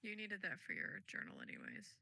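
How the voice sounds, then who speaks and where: A woman's voice: medium pitch, huge pitch swings, a little fast, creaky, pushed and bright, no echo. A woman chatting casually and teasing her friend while playing a game. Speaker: co-player. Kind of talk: casual gaming chat.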